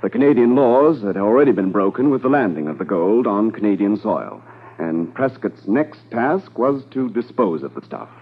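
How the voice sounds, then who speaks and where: An actor as the narrator of a 1950s radio crime drama, measured and portentous in delivery. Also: male voice, fairly low pitch, expressive, slightly rough. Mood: grave, dramatic. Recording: muffled 1950s radio recording.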